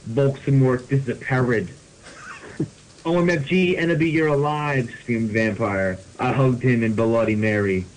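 A man's voice: medium pitch, a little slow, slight background noise, rough recording. A man hosting a podcast, reading fan fiction aloud comically with mock seriousness and casual asides. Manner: mock-serious delivery, often breaking into laughter. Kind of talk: comedic dramatic reading with asides.

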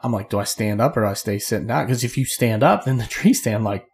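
A hoarse man co-hosting a podcast, telling a hunting story in a rambling, casual way with quick laughs. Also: on the low side. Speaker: podcast co-host. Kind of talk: casual chat among friends.

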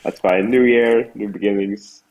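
A man's voice: fairly low pitch, steady tone, unhurried, a little mellow, dull audio. A Norwegian man from the west coast, a podcast guest speaking English with a Norwegian accent in a matter-of-fact way. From west coast of Norway. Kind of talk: casual chat over a call.

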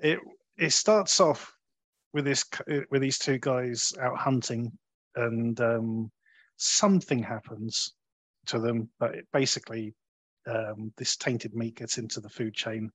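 A man hosting a conversational film review, talking in a halting way and often rephrasing as he goes. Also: medium pitch, fairly even intonation, fairly bright tone, breathy edge, dry recording. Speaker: film review podcast host.

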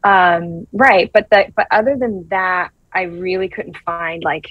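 A woman from Brazil, in her thirties, answering interview questions as an actress in a thoughtful, halting way with many restarts. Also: fairly low pitch, fairly even intonation, no room sound, muffled recording. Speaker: actress guest.

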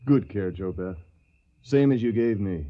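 A man's voice: lowish voice, animated, measured pace. A man playing a courteous, weary wounded young soldier in a radio drama, speaking with restraint. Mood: rueful. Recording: dull muffled radio recording.

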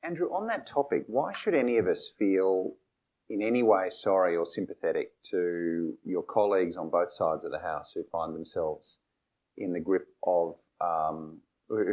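Deep-voiced moderator, brisk questions. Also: male voice, darkish tone. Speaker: moderator, think-tank director. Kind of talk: moderating a live Q&A.

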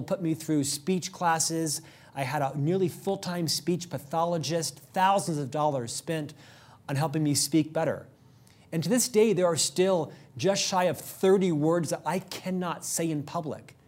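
A middle-aged man hosting a leadership show, talking fast and upbeat in a prepared monologue, with an occasional stutter on a word. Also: a little high. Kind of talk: scripted monologue to camera.

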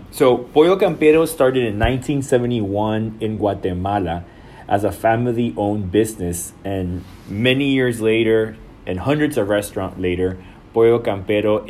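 A middle-aged man from El Salvador, a marketing director answering interview questions in a measured, businesslike way. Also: on the low side, a little mellow, a little hiss or hum. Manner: measured, businesslike, with some restarts.

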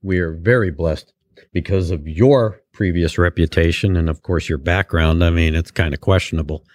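Very deep-voiced genial male podcast host. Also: moderate pace, darkish tone, dry recording. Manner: genial and rambling, with many "uh" fillers. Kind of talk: casual podcast chat. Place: university radio studio.

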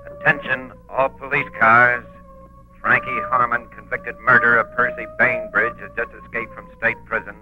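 An actor playing an impersonal police radio dispatcher in a 1940s radio drama. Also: male voice, medium pitch, flat delivery, moderate pace, loud music behind the voice, lightly acted. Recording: muffled lo-fi 1940s radio recording.

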